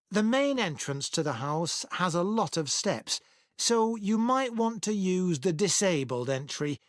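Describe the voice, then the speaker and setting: Woman acting friendly tour guide in a test recording, explains helpfully, slow, dry studio sound. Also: lowish voice, no echo. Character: friendly organised tour guide briefing volunteers. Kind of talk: scripted listening-test monologue.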